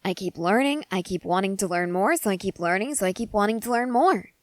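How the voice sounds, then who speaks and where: A woman's voice: medium pitch, expressive, brisk, dry recording, studio quality, close to an everyday voice. A woman playing an eager, intensely curious researcher-soldier who rambles in precise, analytical sentences in a scripted ASMR roleplay. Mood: earnest, intrigued.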